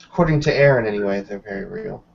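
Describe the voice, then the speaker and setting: A man's voice: fairly low pitch, lively intonation, fast, gravelly. A man in a tabletop roleplaying game, talking casually in character and narrating actions, on a dull recording.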